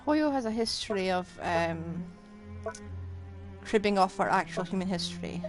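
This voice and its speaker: A woman's voice: mid-range voice, animated, moderate pace. A woman streamer giving casual commentary on a game, chatty and thinking aloud. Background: game music.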